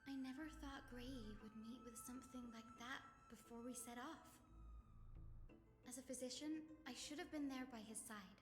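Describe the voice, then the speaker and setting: A woman's voice: high voice, normal speed, close to an everyday voice. An actress playing a sweet, earnest young female physician in a video game, with a lightly playful manner. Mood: regretful. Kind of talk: scripted video game dialogue. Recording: roomy hall reverb. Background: music.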